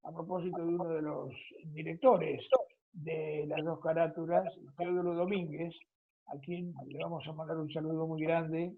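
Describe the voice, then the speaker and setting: Argentine interviewer, husky voice, conversational radio hosting, dull recording. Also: mid-range voice, level tone, normal speed. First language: Spanish.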